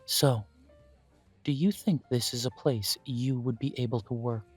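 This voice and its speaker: A man voicing a polite male elf who owns a coffee shop, interviewing the listener for a job in a calm, formal way. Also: mid-range voice, a little slow, slightly rough, soft background music. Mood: courteous. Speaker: shop manager interviewing an applicant. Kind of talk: audio roleplay, talking to the listener.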